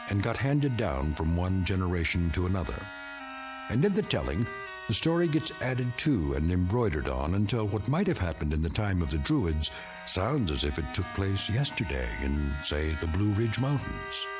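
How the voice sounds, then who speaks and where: A man narrating a radio drama in a measured, storytelling manner. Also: low voice. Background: music underneath.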